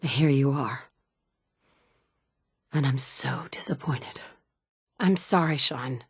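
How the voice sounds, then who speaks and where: A woman's voice: extremely low pitch, slow pace, husky, no echo, studio quality, heavily acted. An actress in her thirties playing a blunt, sardonic mother in a game, with clipped one-liners. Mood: somber. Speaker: player character. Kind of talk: video game dialogue lines. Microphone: studio mic.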